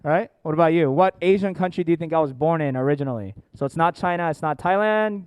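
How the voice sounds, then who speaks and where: Clear-voiced American man hosting a seminar. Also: on the high side, fast talker, a little mellow, no echo. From born in South Korea, grew up in Atlanta, United States. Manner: jokey, engaging the audience with questions. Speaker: seminar host, company founder and educator. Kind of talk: informal seminar presentation to an audience. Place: indoor seminar room with families and online viewers.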